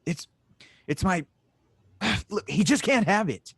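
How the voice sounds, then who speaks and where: A man's voice: sits high, animated, takes it slowly, husky, dry recording, exaggerated voice. A man playing an earnest, stubborn young inventor in a space audio drama, urgent and insistent. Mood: frustrated, urgent. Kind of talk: audio drama table read.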